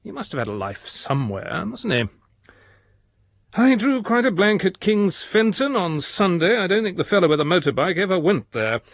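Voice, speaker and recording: An actor playing an earnest, methodical English detective voicing a letter in a radio drama, precise and businesslike. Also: male voice, sits high, very animated, normal speed, no echo, studio quality, exaggerated voice. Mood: businesslike, wry.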